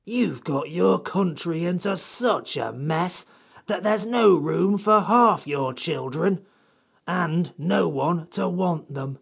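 A man voicing a haughty, complaining furry magical creature in a creaky, husky storybook character voice. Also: on the high side, expressive, takes it slowly, voice pushed up, heavily acted. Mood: irritated, disdainful. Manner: crabby, pompous, disdainful.

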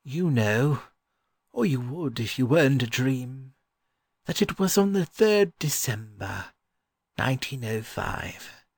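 A man voicing a gentle, wistful old scholar in an audiobook, musing fondly and haltingly. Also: medium pitch, animated, gravelly, no room sound, studio quality, pitched above the performer's own voice, full character voice. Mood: confiding, wondering. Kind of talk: audiobook character voice.

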